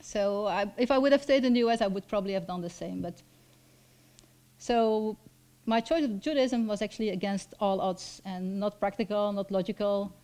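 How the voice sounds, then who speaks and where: A woman in her fifties from Belgium with a Flemish accent, a lecturer reading a personal talk from notes at a lectern, measured and reflective, with frequent ums. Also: medium pitch, warm tone, low-quality recording. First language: Dutch. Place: lecture hall stage at a lectern. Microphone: stand mic at a lectern.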